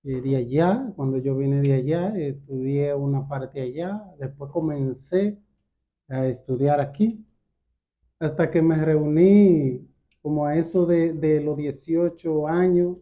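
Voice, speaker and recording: A man with a Dominican accent giving a personal testimony as a guest, halting and repeating words as he goes. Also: fairly high pitch, mellow. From Dominican Republic. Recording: dull, muffled lo-fi sound.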